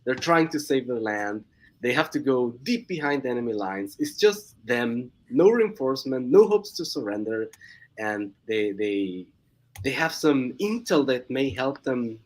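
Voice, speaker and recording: A man's voice: medium pitch, expressive, a little slow. A young man with a Swiss-Mexican accent, a guest chatting casually on a live stream, laughing often and restarting words. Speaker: guest comic creator.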